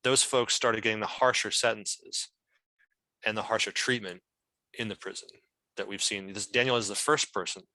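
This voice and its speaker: A man's voice: lowish voice, steady tone, moderate pace, bright, forceful tone, no echo, rough recording. A man explaining things as a guest at an online meeting, thoughtful and often searching for words. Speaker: member of a whistleblower's legal team, guest speaker.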